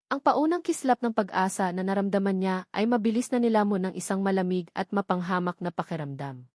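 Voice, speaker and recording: A woman's voice: mid-range voice, fairly quick, darkish tone, no echo, clean studio sound. A woman reading scripted, dramatic narration of a love story.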